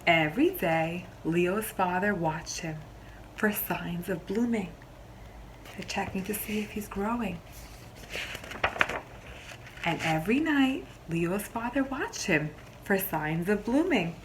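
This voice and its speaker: A woman kindergarten teacher reads a story aloud in a slow, expressive way. She stops to put simple questions to young listeners and explain. A little background noise sits behind her mid-pitched voice.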